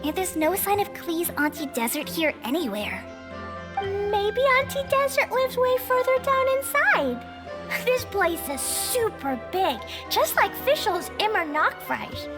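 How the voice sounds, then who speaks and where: A woman's voice: very high-pitched, expressive, unhurried, music in the background. A woman voicing a sweet, curious little girl in a video game, with a light childlike voice and a naive, eager manner. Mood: innocent. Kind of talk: video game cutscene voice acting.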